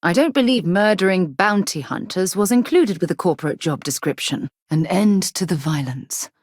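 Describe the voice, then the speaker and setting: A woman playing a composed, principled space explorer in a video game, with articulate, measured and formal phrasing. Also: on the low side, expressive, darkish tone, no room sound, clean studio sound. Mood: composed, reserved. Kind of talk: video game character dialogue.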